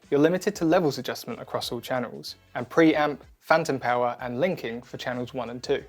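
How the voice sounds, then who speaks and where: A man presenting a scripted product demo in a clear, methodical, instructional manner. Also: mid-range voice. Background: background music.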